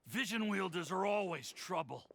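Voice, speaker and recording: A man voicing a gruff, threatening mobster henchman in a video game, talking in gangster slang. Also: high voice, animated, moderate pace, a bit bright, a little hiss or hum. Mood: disgruntled.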